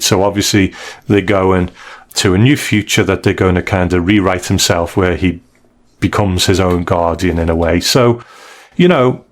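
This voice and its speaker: A man co-hosting a casual discussion of comic books, explaining and recapping in a loose, conversational way. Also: sits low. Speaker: podcast co-host.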